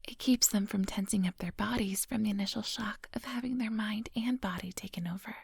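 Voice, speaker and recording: A female vampire hypnotist, played by a woman murmurs in an extremely breathy whisper with a touch of creak. She takes her time, coaxing and quietly menacing. The ASMR roleplay sounds close and very dry. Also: mid-range voice, no room sound. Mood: coaxing, controlled. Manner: slow, coaxing, hypnotic instructions. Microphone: close microphone, dry.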